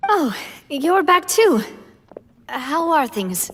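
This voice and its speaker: A woman's voice: sits high, very animated, drawn-out words, fairly bright tone, exaggerated voice. An actress playing a plucky young female community leader in a video game, friendly, casual and a little teasing.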